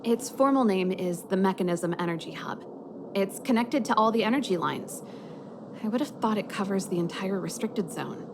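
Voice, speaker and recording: A woman's voice: mid-range voice, expressive, moderate pace, background noise, close to an everyday voice. An actress playing a confident, easygoing young woman mechanic and rock musician in a video game, with a breezy, self-assured manner. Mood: confident explaining.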